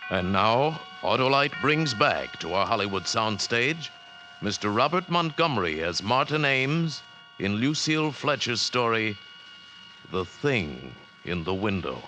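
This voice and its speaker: Low, dramatic vintage radio announcer. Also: male voice, sing-song, pushed and bright, slight background noise. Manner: measured, dramatic. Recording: vintage radio broadcast over music.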